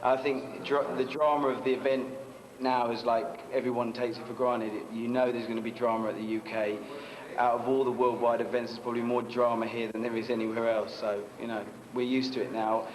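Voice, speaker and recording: A man interviewing at a dance event, talking in a relaxed way with lots of fillers. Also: medium pitch, a little fast, a crowd in the background. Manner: relaxed, filler-heavy with lots of you know. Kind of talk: casual event interview and commentary. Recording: echoey room with clear noise.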